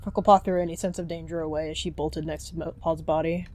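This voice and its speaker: Low-pitched woman narrating a story. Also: little pitch movement, quick pace, warm tone, faint music behind. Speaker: narrator and roleplayer. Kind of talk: scripted story narration of a roleplay.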